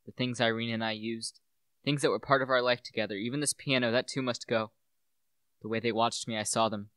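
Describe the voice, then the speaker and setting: A man's voice: medium pitch, fairly even intonation, a little fast, fairly bright tone, no room sound, natural voice. A young man playing a brooding, grieving widower and devoted husband in a solemn, theatrical radio play. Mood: grieving, haunted. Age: young adult. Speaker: student actor. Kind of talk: radio play acting and monologue.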